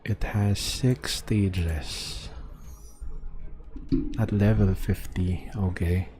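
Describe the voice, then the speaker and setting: A man doing casual, low-key livestream commentary on a game, speaking hesitantly. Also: fairly even intonation, extremely slow, mellow. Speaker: game streamer. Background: game music.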